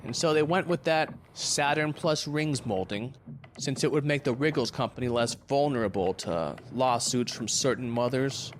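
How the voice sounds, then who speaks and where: A man's voice: mid-range voice, normal speed, close to an everyday voice. A man acting in a conversational stage drama, playing a glib, crude, wounded ex-lover who rambles and needles. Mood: teasing, mock-earnest. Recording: faint background noise.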